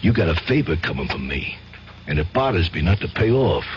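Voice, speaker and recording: An actor playing a streetwise, genial mobster with a slangy, tough, familiar way of talking in a radio drama. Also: male voice, low voice, very animated, brisk. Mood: earnest, insistent. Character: streetwise male mobster, genial, loyal, quietly menacing. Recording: muffled, noisy 1970s radio recording.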